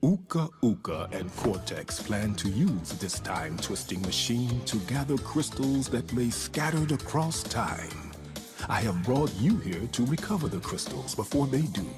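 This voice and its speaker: A man voicing a wise, benevolent ancient guardian spirit in a video game, solemn, measured and fatherly. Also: medium pitch, big pitch swings. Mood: encouraging, instructive. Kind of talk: video game narration in character. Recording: echoey, loud music behind.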